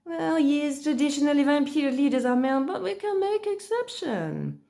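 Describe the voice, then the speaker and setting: A woman's voice: high voice, very animated, moderate pace, vocal fry, dark tone, pitched above the performer's own voice, full character voice. A woman voicing a mysterious, insistent male vampire emissary with a put-on Eastern European accent and a grandiose, commanding manner, in a storybook reading. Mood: chattier, persuasive. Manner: grandiose, commanding, clipped grammar.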